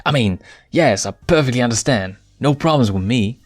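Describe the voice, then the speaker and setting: A man's voice: medium pitch, animated, normal speed, dry recording, voice pushed up, lightly acted. A man voicing a female hyena henchwoman with an anxious, placating manner. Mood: nervous.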